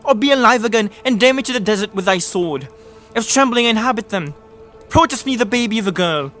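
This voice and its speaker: A man's voice: sits high, lively intonation, brisk, a bit bright, faint music behind. An actor playing a guilt-haunted, raving king in a dramatic reading of Shakespeare. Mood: agitated, defiant.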